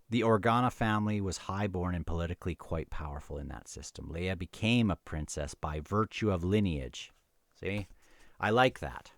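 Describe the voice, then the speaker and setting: A man's voice: low-pitched, dry recording, studio quality. A man casually discussing a book and reading passages aloud, often stumbling and repeating phrases as he goes.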